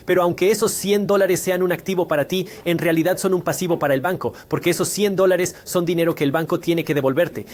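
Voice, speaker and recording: A man with a somewhat raised pitch walks through a money lesson in a scripted explainer style. He talks conversationally and keeps turning rhetorical questions back to the viewer. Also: darkish tone. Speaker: finance educator.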